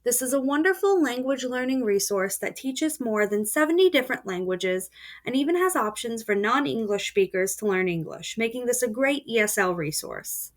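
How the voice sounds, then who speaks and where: A woman with a fairly high voice talks through a screen-recorded software tutorial. She explains each feature step by step in a steady, plain voice-over. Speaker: student presenter.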